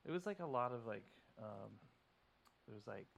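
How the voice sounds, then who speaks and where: A man from Denton, Texas, a podcast guest, talking casually and haltingly, full of "like" and "um". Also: medium pitch, expressive, creaky, poor audio. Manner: halting, lots of "like" and "um".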